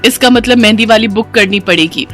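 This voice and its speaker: A woman voicing an anxious, complaining young bride-to-be in an animated cartoon story. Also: fairly high pitch, brisk, soft background music, natural voice. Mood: worried, fretful. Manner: fretful, complaining.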